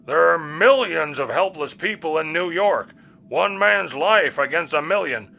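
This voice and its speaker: A hard-nosed American general in an audio adventure story. The voice is gravelly and tense, pitched fairly high. He barks blunt threats with military force. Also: animated, unhurried, sharp tone, heavily acted. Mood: angry, threatening. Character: gruff, blustering American army general, hard-jawed and threatening. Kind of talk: audio story character acting.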